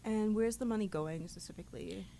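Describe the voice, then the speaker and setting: A woman's voice: medium pitch, fairly quick, vocal fry, dark tone, a little hiss or hum. A young woman interviewing students, asking probing questions in a conversational way.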